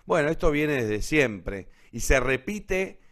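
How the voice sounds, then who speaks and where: A man's voice: a little high, big pitch swings, takes it slowly, a bit bright. A man with an Argentine accent hosting an informal literature talk online, explaining didactically and often checking with tag questions. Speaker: host introducing literature.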